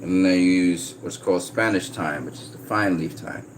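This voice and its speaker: A man from Trinidad chatting casually on a livestream, reading out viewer comments in a relaxed way. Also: low-pitched, moderate pace, slight background noise. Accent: slight American-sounding Trinidadian. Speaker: vlogger.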